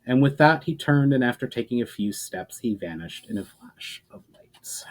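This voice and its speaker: A man's voice: medium pitch, lively intonation, moderate pace. A man presenting his own fantasy fiction as author and storyteller. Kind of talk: dramatic scripted narration, casual sign-off.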